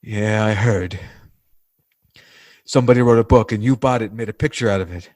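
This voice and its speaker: An actor playing an earnest, plain-spoken working-class man in 1940s radio drama style, with a North American accent and halting, slangy speech. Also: lowish voice, a little mellow, no room sound, studio quality, lightly acted. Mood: bitter accusing. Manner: halting, groping for words, slangy American everyman.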